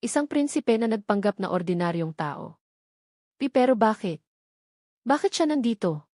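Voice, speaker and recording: A woman's voice: mid-range voice, lively intonation, normal speed, warm tone, no echo, lightly acted. Woman voicing an anxious young mother, trembling, stammering, shocked, questioning, radio drama style, dry close sound. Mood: shocked, confused.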